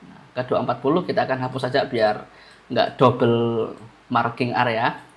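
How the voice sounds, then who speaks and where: Stuffy-nosed man explaining charts. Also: medium pitch. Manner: casual, repeats key phrases, addresses viewers as friends. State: stuffy-nosed, under the weather. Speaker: trading analyst. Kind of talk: chart analysis walkthrough.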